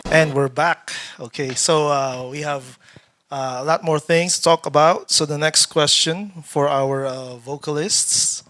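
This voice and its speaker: A man casually hosting a live-streamed panel, reading questions and shout-outs in a hesitant, halting way. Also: on the high side, steady tone, soft background music.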